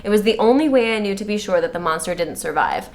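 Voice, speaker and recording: A woman's voice: mid-range voice, animated, fast talker. A woman co-hosting a casual comedic recap, wry and slangy, paraphrasing characters as mock dialogue. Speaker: podcast co-host.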